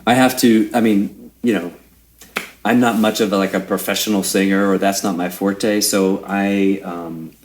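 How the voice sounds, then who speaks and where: Low-voiced middle-aged man, musing. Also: fairly even intonation, normal speed, a little hiss or hum. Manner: thoughtful, meandering, trails off often. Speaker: guest musician. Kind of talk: casual conversation.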